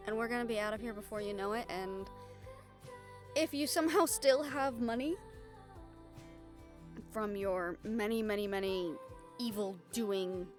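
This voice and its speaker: A higher-pitched young woman's voice, performed by an actress as a devoted girlfriend in an intimate audio drama. She speaks tenderly and haltingly, with wry teasing and casual swearing. Soft music plays faintly behind her. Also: lightly acted.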